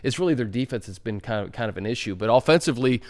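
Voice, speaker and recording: Male sports host with mid-pitched voice. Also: animated, very fast, darkish tone. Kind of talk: casual sports talk and interviewing.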